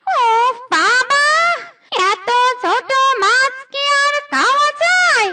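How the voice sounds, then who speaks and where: A woman's voice: very high voice, very animated, bright, forceful tone, soft background music, heavily acted. A woman voicing a small, greedy, whining animal thief in a cartoon, sneaky and plaintive. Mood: whiny, discontented. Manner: whiny, slow. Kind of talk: cartoon voice acting.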